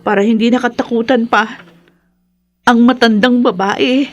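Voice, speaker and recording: An actress playing a timid, grateful daughter in a horror radio drama. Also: female voice, a little high, fairly even intonation, a little slow. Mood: relieved, timid. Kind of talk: Filipino radio drama acting.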